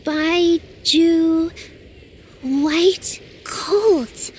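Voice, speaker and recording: An actress voicing a cheerful, earnest young girl apprentice in a game, explaining things patiently and brightly. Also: very high-pitched, extremely slow, slight background noise, heavily acted. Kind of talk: game voice acting.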